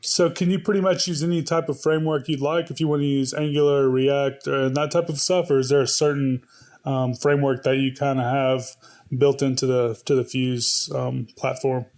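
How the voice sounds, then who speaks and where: A man's voice: medium pitch, steady tone, normal speed, a little mellow. A man hosting a podcast in a conversational style, asking questions in an easygoing, informal way. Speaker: podcast host, interviewer.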